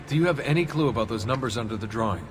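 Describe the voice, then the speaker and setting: A man's voice: mid-range voice, expressive, rapid-fire, lightly acted. An actor playing a weary, casual young man in an assassin team in a video game. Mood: curious. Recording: music behind the voice.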